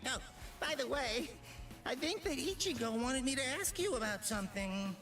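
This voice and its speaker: A woman's voice: high voice, lively intonation, moderate pace, recorded from a distance. A woman playing a whiny, overexcited little soul from a stuffed toy in an anime game dub. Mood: alarmed. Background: loud game music.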